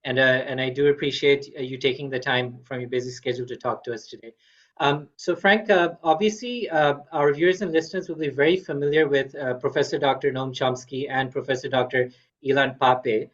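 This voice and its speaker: Man, podcast host, mid-pitched voice, polite and formal, slightly muffled recording. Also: steady tone, fairly quick, a bit bright. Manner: polite and formal, with frequent fillers. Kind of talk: podcast interview hosting.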